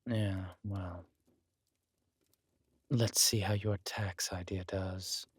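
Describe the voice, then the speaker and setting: A man playing a regal, wry, menacing villain king in a roleplay audio drama, speaking in a measured, intimate, smugly theatrical way. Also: deep voice, fairly even intonation, breathy edge, no echo, exaggerated voice. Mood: cold, menacing.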